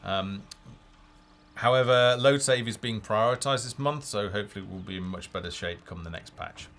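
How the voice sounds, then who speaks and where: Low-voiced man streaming game commentary. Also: moderate pace, fairly bright tone. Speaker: game developer streaming a play-through. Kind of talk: casual live gameplay commentary. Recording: game music behind the voice. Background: game music and unit voices.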